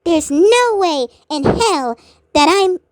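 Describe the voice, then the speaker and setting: Grumpy cartoon loner Maroon, wildly animated woman's voice. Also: extremely high pitch, slow, background noise, heavily acted. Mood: indignant, flustered. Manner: grouchy, stammering when pressed.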